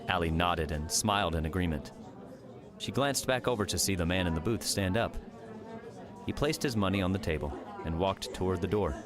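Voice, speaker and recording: A man's voice: low-pitched, moderate pace, over music. A man reading scripted third-person story narration as an audiobook narrator.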